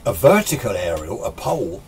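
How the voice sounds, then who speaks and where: A man's voice: on the low side, very animated, moderate pace, a little mellow, slight background noise. A British man in his sixties giving an informal, opinionated, rambling explanation as a hobbyist presenting a tutorial. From United Kingdom. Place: small indoor radio workshop.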